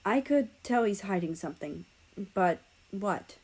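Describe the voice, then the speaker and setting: A woman reading fiction aloud as an expressive narrator. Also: medium pitch, unhurried, husky. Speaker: story narrator.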